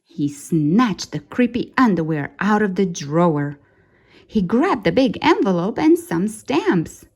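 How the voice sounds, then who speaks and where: A woman reading a children's story aloud in an expressive, dramatic way, drawing out key words for suspense. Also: medium pitch, takes it slowly, slightly rough.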